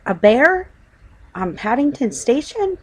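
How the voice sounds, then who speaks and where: A woman voicing an excitable, easily flustered middle-aged husband in a children's story. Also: high-pitched, slow pace, slightly rough, pitched above the performer's own voice, exaggerated voice. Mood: excited, insistent. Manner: insistent, hesitant when embarrassed.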